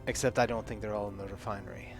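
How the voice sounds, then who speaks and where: A man's voice: on the low side, fairly even intonation, racing, vocal fry, over music. A man voicing a dutiful, plain-spoken Marine in a tabletop game, frank and steadying. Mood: suspicious, businesslike.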